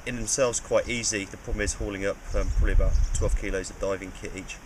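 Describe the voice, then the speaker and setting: A man's voice: lowish voice, fairly even intonation, quick pace, noisy background. A man describing a cave passage, hesitant and repeating his words. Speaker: cave diver. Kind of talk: interview recap.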